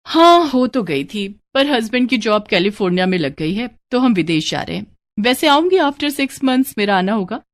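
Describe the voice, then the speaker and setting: A woman's voice: a little high, big pitch swings, normal speed. A woman voicing a chatty, scheming young married friend in a cartoon moral story.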